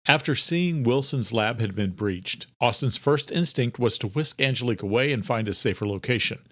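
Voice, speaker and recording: A man's voice: medium pitch, expressive, normal speed, a bit bright, dry recording. Dry-recorded male thriller audiobook narrator.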